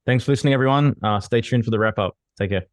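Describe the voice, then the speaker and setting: A man's voice: mid-range voice, quick pace, no room sound, studio quality. A man co-hosting a podcast, talking with enthusiasm in a motivational way and swearing casually. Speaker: podcast co-host.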